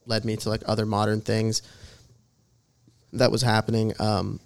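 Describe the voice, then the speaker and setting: Creaky low-voiced young man, rambling. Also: completely flat delivery, moderate pace. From Jordan. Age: 20s. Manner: rambling, many fillers like "uh" and "like". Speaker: podcast guest. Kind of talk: casual interview chat.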